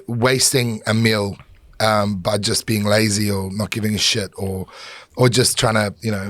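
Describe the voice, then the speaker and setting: A man's voice: fairly low pitch, no room sound. A middle-aged man with a South African accent, a chef guest talking candidly on a podcast and swearing freely. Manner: candid, swears freely, many fillers and restarts. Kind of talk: podcast conversation.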